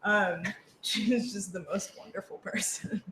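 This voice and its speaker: A woman in a casual group conversation, speaking at a middling pitch. She is playful and halting, restarting her thoughts, in an echoey room with faint noise behind her. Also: steady tone, a bit bright. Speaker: radio drama actor. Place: indoor room with other people.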